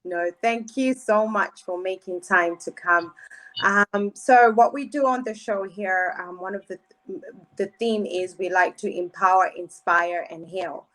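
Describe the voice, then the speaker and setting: A woman's voice: mid-range voice, a little slow, fairly bright tone, low-quality recording. A woman hosting a radio interview show, questioning her guest with emphatic rises on key words.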